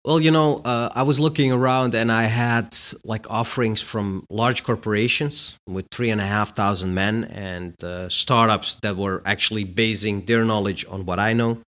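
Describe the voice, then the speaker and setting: A man's voice: on the low side, fairly even intonation, moderate pace, few pauses, a bit bright. A middle-aged man from Bosnia, a guest answering interview questions in a casual, hesitant way with many fillers. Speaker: guest, company executive.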